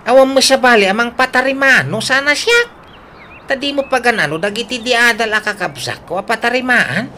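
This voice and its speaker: Woman acting bright, animated, earnest young son. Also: fairly high pitch, brisk, slight background noise. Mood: earnest, cheerful. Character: hardworking young grown son, earnest and good-hearted. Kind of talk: comic Ilocano radio drama acting.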